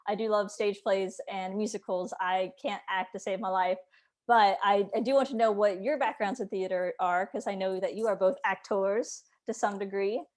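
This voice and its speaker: A woman's voice: mid-range voice, fairly even intonation, moderate pace, a little mellow. A woman hosting an interview in a conversational style, asking questions as an editor who talks with authors. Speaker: host, developmental editor.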